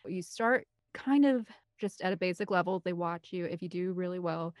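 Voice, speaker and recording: A woman's voice: lowish voice, normal speed, no room sound, studio quality. A woman guest on a podcast, chatting casually in an enthusiastic, rambling way. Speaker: podcast guest, film composer. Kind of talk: casual chat.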